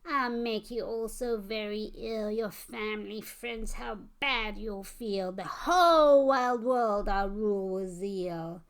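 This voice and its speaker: A nasty storybook germ monster, acted out by a woman. It gloats and threatens in drawn-out moans, groans and shrieks, stretching words slowly with greedy menace. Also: medium pitch, clear, resonant tone. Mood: gloating, ranting.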